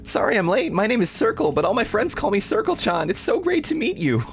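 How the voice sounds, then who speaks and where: A man's voice: sits high, very animated, brisk, gravelly, faint music behind, pitched above the performer's own voice, exaggerated voice. A man voicing a chirpy, eager little cartoon circle girl in a visual novel, gushing with cheer.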